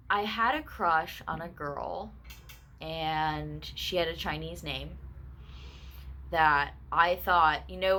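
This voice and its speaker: A woman in her twenties telling a personal story in a casual, candid vlog, full of quick asides and self-corrections. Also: sits low, fairly bright tone, slight background noise. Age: 20s. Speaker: vlogger.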